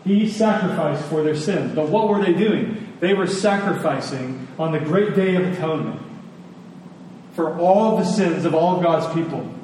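A man's mellow, somewhat high-pitched voice rings through a very echoey hall. He preaches earnestly, reading scripture and explaining it with emphatic repetition and dramatic pauses. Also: animated. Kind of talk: expository sermon with scripture reading. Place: large reverberant indoor hall. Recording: distant, echoey large hall, faint noise.

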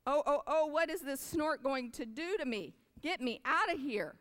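A woman's voice: a little high, animated, unhurried, a bit bright, clear, resonant tone, voice pushed up. A woman voicing a small, anxious baby boy bird who pleads and calls out as he searches. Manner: pleading, calling out. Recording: long hall reverb.

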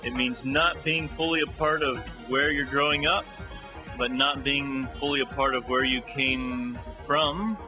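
A man's voice: mid-range voice, fairly even intonation, measured pace. A man, a missionary parent, speaking candidly about belonging and his kids in a mission video. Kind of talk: interview in a ministry video. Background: music.

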